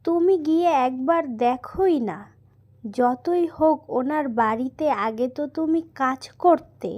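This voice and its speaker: A woman voicing a caring, worried housewife and mother in a cartoon, with a gentle, coaxing manner. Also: sits high, a little slow, lo-fi sound. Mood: gentle urging. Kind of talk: cartoon voice acting.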